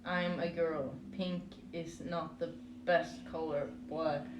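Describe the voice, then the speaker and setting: Slow-talking woman streamer, roomy echo. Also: low-pitched, little pitch movement, dull audio, noisy background. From Norway. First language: Norwegian. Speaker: live streamer. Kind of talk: casual chat with stream viewers while crocheting. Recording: roomy echo with music behind. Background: music.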